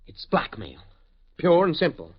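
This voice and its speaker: A man's voice: a little high, big pitch swings, a little slow, breathy edge, full character voice. A man playing an anxious, principled academic in a 1950s radio drama, hesitant and stammering over his words. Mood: distressed. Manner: agitated, hesitant, stammering repeats. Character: anxious, principled male academic trapped by blackmail. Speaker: radio actor. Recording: dull vintage radio recording.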